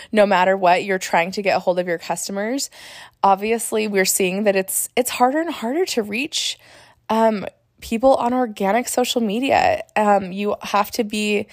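A young woman hosting her own podcast, still a little hoarse from laryngitis, chatting in an upbeat way with fillers and quick self-corrections. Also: mid-range voice, no room sound, clean studio sound. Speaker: podcast host, marketer. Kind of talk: casual solo podcast talk.

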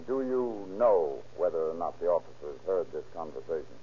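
An actor playing a stern, insistent prosecutor with a husky voice, formally questioning in an old radio crime drama. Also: male voice, low voice, very animated, normal speed, dark tone, heavily acted. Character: stern, pressing courtroom prosecutor questioning a witness. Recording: muffled old radio recording.

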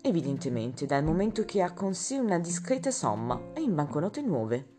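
A woman's voice: fairly low pitch, very animated, normal speed, pitched above the performer's own voice. A woman voicing a chatty, proud young French manservant with eager, talkative bursts, over guitar music. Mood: confiding. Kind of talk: audiobook character voice. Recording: guitar music playing underneath.

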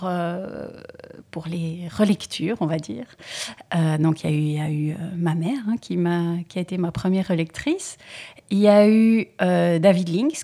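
A woman singer being interviewed about her album, who speaks thoughtfully with frequent hesitations and pauses. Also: lowish voice, warm tone. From Belgium. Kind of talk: relaxed interview conversation.

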